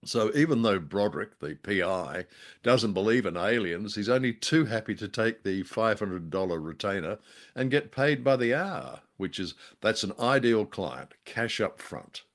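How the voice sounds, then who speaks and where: Man, low voice, casual review chat, hesitant, wry, digressive co-host. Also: expressive, moderate pace. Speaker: co-host of an old-time radio drama discussion show.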